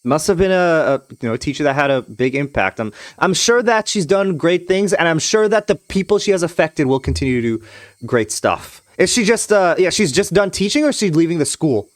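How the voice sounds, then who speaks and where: A man's voice: fairly high pitch, sing-song, fairly quick, no room sound, studio quality. A young man from Trinidad and Tobago chatting with his stream viewers in a rambling way, full of restarts, now and then reading a game's text aloud. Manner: rambling, frequent restarts and hedging fillers. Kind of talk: casual live-stream chat with viewers, reading a visual novel aloud.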